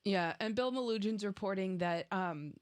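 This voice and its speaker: A woman's voice: mid-range voice, moderate pace. A woman co-hosting a podcast, chatting casually and relaying news items. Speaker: podcast co-host. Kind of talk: casual chat and reading news aloud.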